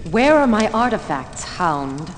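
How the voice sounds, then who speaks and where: An actress playing a stern, commanding sorceress in a fantasy video game, cold and scornful in manner. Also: female voice, medium pitch, very animated, takes it slowly, a little hiss or hum. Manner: imperious, scornful. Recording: long stone-hall reverb under music.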